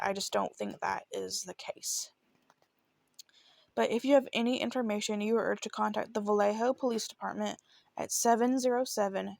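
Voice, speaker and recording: Woman true crime host, chatty and informal, lots of vocal fry, dry close sound. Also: mid-range voice, darkish tone, no room sound. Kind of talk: casual true crime narration.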